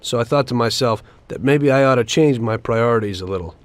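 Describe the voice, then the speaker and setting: Actor as weary, disillusioned American mafia gangster. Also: male voice, medium pitch, brisk, close to an everyday voice. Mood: cynical, reflective. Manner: reflective, plain-spoken, cynical. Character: weary, disillusioned male mafia gangster recounting his past. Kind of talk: dramatic game cutscene narration.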